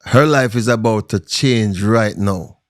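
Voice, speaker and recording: A man's voice: fairly low pitch, a little slow. A man with a Jamaican accent giving emphatic, rhetorical commentary to the camera.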